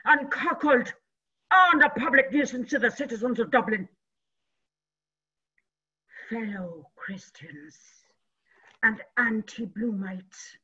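A woman's voice: fairly high pitch, sharp tone, husky. A woman giving a declamatory dramatic reading on a video call, at times dropping to a whisper. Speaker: reader of a dramatic passage. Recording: dull lo-fi video call audio.

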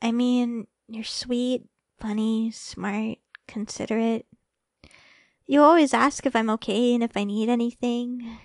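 A woman playing a sweet, shy young girlfriend in a romantic ASMR roleplay, speaking softly and hesitantly with affection. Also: a little high, steady tone, a little slow, dry recording, clean studio sound. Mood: affectionate, playful. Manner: soft, hesitant, affectionate, stammering when flustered.

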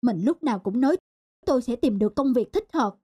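A woman's voice: fairly high pitch, animated, brisk, dark tone, slightly rough. A woman voicing a meek, self-doubting young husband in a folk tale cartoon. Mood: dejected.

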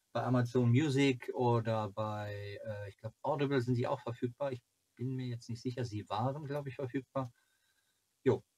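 Man, forties, mid-pitched voice. Casual home vlog host, halting delivery, muses to himself while showing items. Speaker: fan club video host. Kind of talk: casual chat reviewing fan merchandise. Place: small cluttered home room, indoors.

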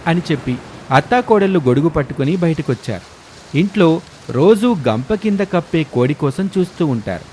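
A man's voice: a little high, animated, normal speed, dark tone, a little hiss or hum. A man narrating a scripted family moral story. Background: music.

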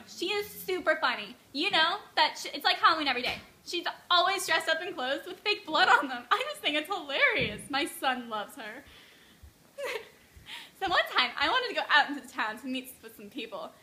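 A woman's voice: high voice, sing-song, a little fast, bright, forceful tone, heavily acted. A teenage girl acting a chirpy young mother in a mock documentary interview, gushing and giggly, recorded on a camera mic indoors. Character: chirpy young mother, cheerful newcomer neighbor. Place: living room indoors.

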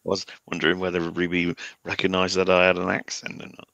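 A man's voice: low-pitched, monotone, brisk, creaky, fairly bright tone, no echo, dull audio. A man with a Devon accent, co-hosting a live stream and reading out viewer messages playfully. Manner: playful, reads messages aloud. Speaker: co-host reading out chat.

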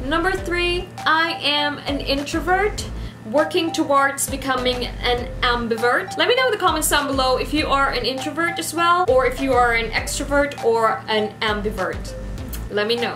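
High-voiced young Filipina vlogger in a roomy space. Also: female voice. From Philippines. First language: Ilonggo. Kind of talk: casual listing of facts to camera. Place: small decorated room indoors. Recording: roomy echo, music behind.

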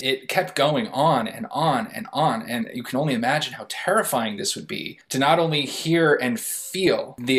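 A man's voice: medium pitch, a bit bright. Emphatic, reflective man on a panel. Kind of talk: reflective commentary in a panel discussion. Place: indoor room, on a video call. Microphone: video call microphone.

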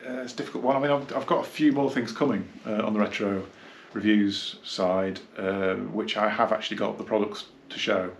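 Guy in his 50s rambling about old gear, gravelly low voice, bit of room noise. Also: fairly even intonation. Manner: rambling, halting, reminiscing. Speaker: hi-fi dealer and reviewer. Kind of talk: casual unscripted product review. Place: small carpeted indoor room, seated.

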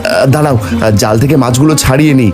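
A man's voice: medium pitch, fast, lightly acted. A man playing a poor, caring village fisherman and father in a cartoon, speaking earnestly. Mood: eager, caring. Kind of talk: cartoon story dubbing. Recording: loud music behind the voice. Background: music.

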